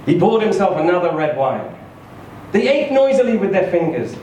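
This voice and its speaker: Echoey, animated male story reader. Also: high voice, moderate pace. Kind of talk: expressive live literary reading. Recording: echoey room, distant mic, faint noise.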